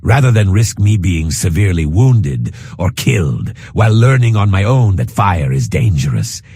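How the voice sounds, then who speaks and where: A man voicing a reflective, scarred Argonian lizard-man in a fantasy game, speaking formally with deliberate pauses. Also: low voice, vocal fry, a little mellow, slightly rough, no room sound, full character voice. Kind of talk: scripted fantasy game character dialogue.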